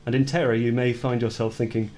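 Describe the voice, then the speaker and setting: An actor playing a brooding man who confesses violent fantasies of retribution in a deliberate, intense radio play monologue. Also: mid-range voice, level tone, a little mellow, a little hiss or hum, lightly acted. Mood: grim, vengeful.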